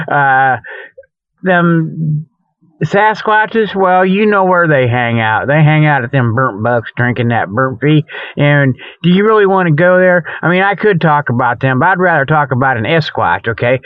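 A man hosting a comic radio report, rambling through a mock pitch in a folksy, colloquial way full of okays and you-knows. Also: dry recording. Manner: folksy, colloquial, frequent okay and you know. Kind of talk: rambling humorous monologue, mock charity pitch. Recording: dull lo-fi recording.

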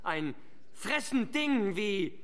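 Radio drama rabble-rouser, piercingly high forceful voice, deliberate scornful declamation. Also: male voice, big pitch swings, full character voice. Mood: mocking. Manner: declamatory, sarcastic. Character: scornful village rabble-rouser opposing the dike master.

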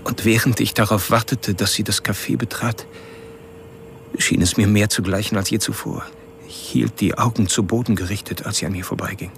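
An actor playing an obsessive killer, narrating his stalking in a deliberate, literary, confessional way in a crime radio drama. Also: fairly low pitch, steady tone, creaky, slight background noise. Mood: obsessed, unsettled.

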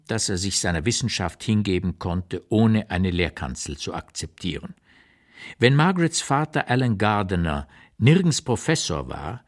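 Deep-voiced elderly memoirist, expressively measured. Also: male voice. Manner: measured, emphatic, carefully articulated with rhetorical pauses. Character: 85-year-old writer looking back on his exile years, reflective and candid. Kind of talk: literary reading in a radio play, scripted memoir narration.

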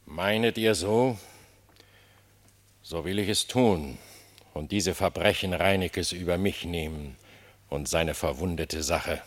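A man's voice: low voice, takes it slowly, pauses often. An actor playing a stern, distrustful king of the animals in a radio play, solemn and regal in his declamation. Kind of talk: German radio play verse acting.

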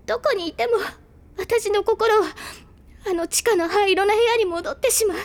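A woman's voice: extremely high pitch, moderate pace. A woman playing a frail, dying teenage girl in an audio drama, tormented and yearning, speaking in a fragile, tearful, confessional way. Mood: anguished. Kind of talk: Japanese audio drama acting. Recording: loud string music behind the voice. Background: violin and string music.

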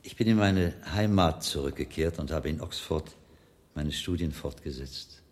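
An actor playing a shrewd, learned friar in a radio drama, speaking in a measured, thoughtful and wry way. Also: male voice, very deep voice, darkish tone. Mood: reflective, warm.

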